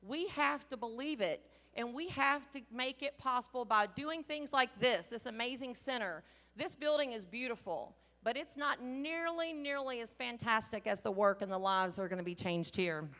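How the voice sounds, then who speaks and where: A woman's voice: a little high, moderate pace, hardly any pauses, pushed and bright, big-room sound. A woman giving an earnest, emphatic speech as the event's emcee. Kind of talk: public speech. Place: large hall at a public event.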